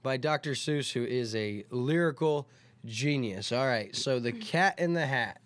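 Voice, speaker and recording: A man's voice: mid-range voice, lively intonation, a little slow, fairly bright tone, no echo. A man hosting a story time, talking casually and reading a children's picture book aloud.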